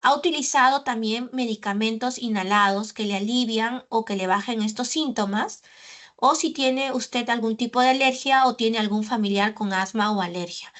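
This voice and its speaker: A woman pulmonologist giving a medical lecture, explaining carefully and often pausing on filler sounds and tag questions. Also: fairly high pitch, fairly bright tone. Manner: didactic, with frequent "¿no?" tags and "eh" fillers.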